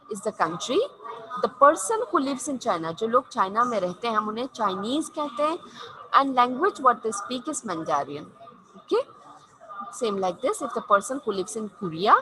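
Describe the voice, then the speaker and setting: A woman's voice: fairly high pitch, noisy background. Pakistani woman teaching English, repetitive. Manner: repetitive, checks with "okay?", switches into Hindi-Urdu to explain. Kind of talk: classroom lesson, explaining vocabulary with examples.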